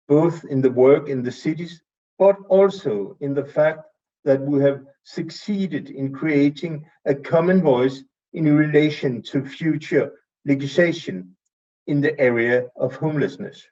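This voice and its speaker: A man from Denmark with a Danish accent, giving a measured, somewhat halting introduction at an online event. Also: a little high, darkish tone. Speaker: city official introducing a speaker. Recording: distant muffled mic.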